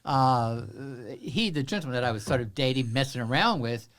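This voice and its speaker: Older Texan man, halting and wry. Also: medium pitch, expressive, a bit bright. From Central Texas. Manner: halting, many fillers and restarts, wry humor. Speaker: guest. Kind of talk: personal storytelling in an interview.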